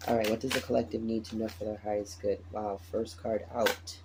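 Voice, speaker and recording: A man with a raspy morning voice, tired, chatting casually as he lays out a tarot reading and repeats his phrases. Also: lowish voice, flat delivery, brisk, noisy background. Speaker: tarot reader.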